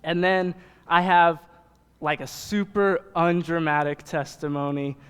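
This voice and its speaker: Young american guy youth pastor, smooth clear voice kinda high, chatty joking sermon. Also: fairly even intonation, measured pace, a bit bright. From Nebraska, United States. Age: young adult. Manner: casual, joking, conversational asides. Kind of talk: informal sermon to a congregation. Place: church hall, speaking to congregation. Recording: reverberant hall sound.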